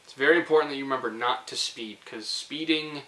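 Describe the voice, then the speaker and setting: Young male vlogger, playful and rambling storyteller, forceful bright tone, roomy echo. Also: medium pitch, fairly quick. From Canada. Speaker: host of a storytelling video series. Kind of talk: casual comic storytelling to viewers.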